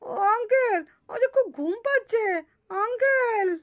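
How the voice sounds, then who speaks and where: A woman's voice: very high voice, a little slow. A woman voicing a whiny, innocent little schoolchild doll in a puppet cartoon, with a plaintive manner. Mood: woozy, whining. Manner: whining, plaintive.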